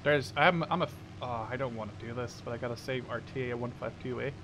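A man's voice: medium pitch, fast, fairly bright tone, slight background noise. A man chatting casually and self-deprecatingly with a teammate while playing a video game. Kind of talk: casual gaming chat with a teammate.

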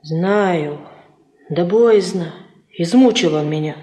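A woman's voice: on the low side, slow, mellow. A woman acting an anxious, worn-out peasant wife in a Soviet radio play, with rustic, lamenting speech. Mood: weary, anxious. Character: anxious, worn-out peasant wife plotting against her husband. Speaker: radio drama actress. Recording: 1950s radio play recording.

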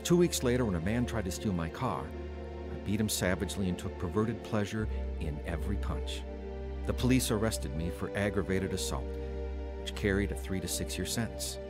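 Guy acting troubled hothead man in a radio drama, lowish voice, music behind. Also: moderate pace, lightly acted. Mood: brooding, regretful. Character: troubled, hot-tempered working man recounting his past. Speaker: narrator and lead actor. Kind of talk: first-person dramatic radio narration and acting.

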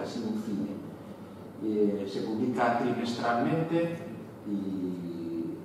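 A man introduces the guest speaker from a panel table, talking in an easygoing way with frequent fillers. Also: mid-range voice. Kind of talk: formal introduction. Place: large lecture hall, at a panel table. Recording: echoey hall, distant mic, hiss.

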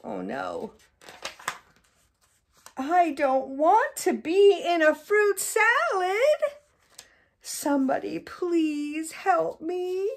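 A woman voicing an excitable, melodramatic young crocodile from a children's picture book. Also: extremely high pitch, extremely slow, gravelly, pauses often, pitched above the performer's own voice, full character voice. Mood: panicked. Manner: dramatic, exclamatory, melodramatic. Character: excitable, panicky young crocodile who loves watermelon.

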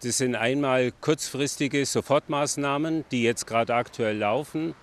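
A man's voice: medium pitch, a little slow. A middle-aged man, a city official, giving a formal, structured interview outdoors into a handheld mic. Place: outdoors by a stream. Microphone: handheld reporter mic.

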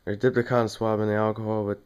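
A man with a fairly low, clean and smooth voice talks through a hands-on demonstration. His intonation stays very flat. He speaks plainly and casually, halting now and then as he works in a small room at home. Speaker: demonstrator in a home how-to video. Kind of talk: casual hands-on tutorial talk.

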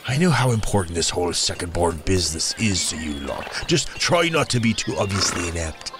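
An actor playing a gruffly kind man in a fantasy audio drama, giving instructions in a brusque manner. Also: sits low, big pitch swings, brisk, music in the background. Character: gruffly kind working man helping a young newcomer.

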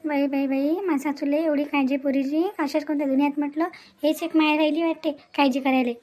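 A woman's voice: high-pitched, fairly even intonation, fast talker, a little mellow, close to an everyday voice. A woman playing a spiteful daughter-in-law in a cartoon family drama, muttering sarcastic complaints with a Varhadi Marathi accent. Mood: scornful muttering. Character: spiteful grumbling daughter-in-law resenting her husband's family.

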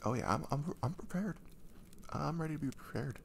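A man playing a gentle, caring boyfriend in an intimate ASMR roleplay, speaking softly and breathily, often half-whispering, with casual, hesitant reassurance. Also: a little high, lively intonation, fairly quick, creaky, a little hiss or hum. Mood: tender, reassuring. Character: caring, gentle young boyfriend comforting his girlfriend.

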